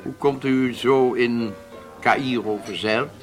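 An actor playing a reserved German engineer in a radio drama, formal and measured, with a gravelly voice. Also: male voice, medium pitch, fairly even intonation, soft background music, lightly acted.

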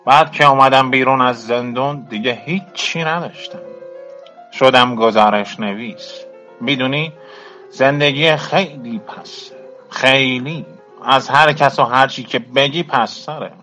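A man's voice: mid-range voice, sharp tone, faint music behind, natural voice. An actor playing a weary, bitter former activist and ex-prisoner, telling his story colloquially and reflectively in a radio drama. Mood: wry, world-weary. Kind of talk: Persian radio drama monologue.

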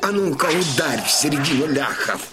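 An actor playing a commanding older Cossack chieftain in an audio drama, fiery and solemn, with declamatory, rousing delivery. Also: male voice, a little high, lively intonation, normal speed, lightly acted. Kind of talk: theatrical battle oratory in Russian audio drama. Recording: loud music behind the voice.

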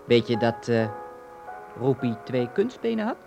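A man's voice: mid-range voice, sing-song, a little slow, gravelly, loud music behind the voice. An actor playing a brash, teasing young companion of a sick boy in a Dutch radio drama, blunt and mocking. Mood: gleefully mocking.

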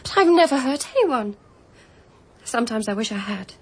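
An actress playing a bitter, hesitant former servant in a radio drama. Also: female voice, on the high side, animated, normal speed, breathy edge. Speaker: Mary. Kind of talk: BBC radio drama acting.

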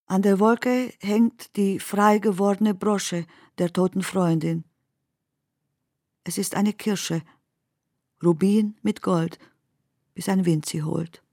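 Slow, deliberate woman reciting poetry, dry studio. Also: medium pitch, fairly even intonation, mellow, no room sound. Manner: measured, deliberate phrasing. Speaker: radio play performer. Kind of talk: literary recitation of collage poetry.

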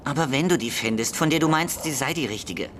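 An actor playing a lonely, agitated schoolboy seeker in a radio drama, pleading urgently and earnestly. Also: male voice, mid-range voice, animated, brisk, background noise, lightly acted. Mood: fervent, earnest. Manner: urgent, hasty, pleading, confiding. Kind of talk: German literary radio drama.

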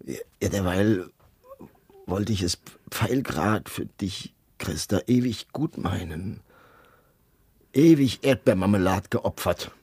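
An actor in a German radio drama playing a sadistic, lecherous prison camp overseer who sneers, taunts, barks orders and wheedles. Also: male voice, low voice, takes it slowly, a little mellow, slightly rough, heavily acted. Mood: taunting, wheedling.

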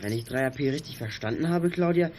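A man's voice: mid-range voice, expressive, fairly quick, darkish tone, a little hiss or hum, close to an everyday voice, low-quality recording. An actor playing a keen, confident boy detective who leads his friends in a children's radio play. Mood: thoughtful, confident. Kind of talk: 1980s German children's radio play acting.

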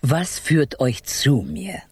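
An actor in a children's radio play voicing a grumpy, doting, three-hundred-year-old toad fortune-teller with a husky, muttering delivery. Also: medium pitch, very animated, slow pace, no room sound, full character voice. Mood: pondering, puzzled.

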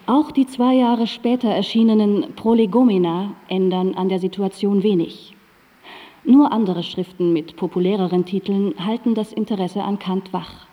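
A woman narrating a radio play as a composed, erudite guide, with measured, articulate and factual delivery. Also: medium pitch, steady tone, warm tone, long reverb tail, close to an everyday voice.

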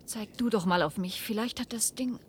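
An actress playing an anxious grown daughter in a radio play, pleading with urgent, halting delivery. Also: female voice, close to an everyday voice. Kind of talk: German radio play acting. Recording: rumbly, noisy archive radio recording.

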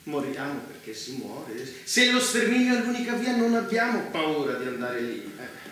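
An actor playing a ruthless, self-righteous warlord in a dystopian stage drama, speaking in grandiose, taunting phrases with dramatic pauses. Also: male voice, a little high, big pitch swings. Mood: coldly resolute. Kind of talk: intense theatrical stage acting. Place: theatre stage, recorded from afar. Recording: echoey theatre, distant mic, loud noise.

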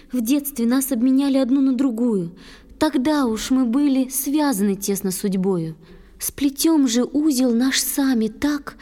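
A woman playing a gentle, devoted young water-spirit bride, speaking verse tenderly and earnestly. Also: fairly high pitch, long reverb tail, lightly acted. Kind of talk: dramatic verse recitation. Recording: music under the voice.

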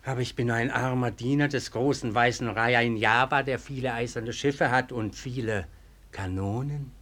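An actor playing a crafty, self-important chieftain who feigns humility in a German radio play, theatrical and bargaining in manner. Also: male voice, medium pitch, steady tone, normal speed, fairly bright tone, lightly acted. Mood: crafty, haughty.

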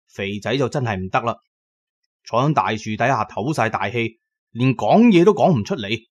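Man, scripted audiobook narration, medium pitch, colloquial storytelling, dry studio-like sound. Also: dry recording. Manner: first-person storyteller with colloquial asides.

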